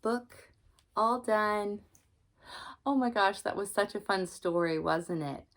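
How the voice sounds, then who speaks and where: A young woman and mother reading a children's book aloud and then chatting gently with her child about it. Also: medium pitch, slow pace. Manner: gentle, expressive, talks to the child.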